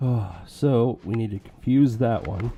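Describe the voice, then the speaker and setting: A man casually commentating a strategy game with joking asides, his voice going hoarse. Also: mid-range voice, measured pace, mellow. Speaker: let's play commentator.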